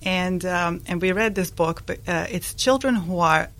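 A woman in her forties from Bosnia, speaking English with a Bosnian accent, a guest in a radio interview who talks with many pauses and restarts. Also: on the low side, fairly bright tone.

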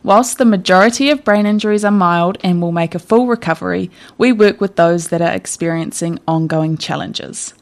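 A woman hosting a community radio show, reading a prepared opening and then chatting with a guest. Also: lowish voice. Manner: friendly, reads intro then chats.

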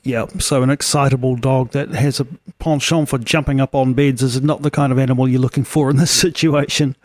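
A man's voice: mid-range voice, steady tone, a little fast, no echo. A man hosting a radio interview, asking friendly questions with small restarts. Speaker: radio host.

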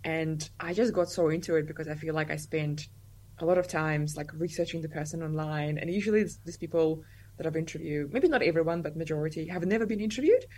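A young woman speaks in a lowish, relaxed voice. She talks casually as an interview guest, loose and chatty, leaning on "like" and laughing easily. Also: fast talker. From Russia. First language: Russian.

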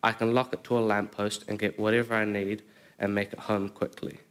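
A man reading a prepared speech at a community event with steady, even delivery. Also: lowish voice, a little fast, smooth. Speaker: community speaker.

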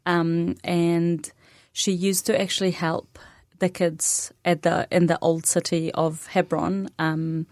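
Palestinian woman, native arabic speaker, young adult, low voice, soft-spoken, many pauses, dry studio sound. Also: dry recording. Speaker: interview guest. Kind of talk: radio interview conversation.

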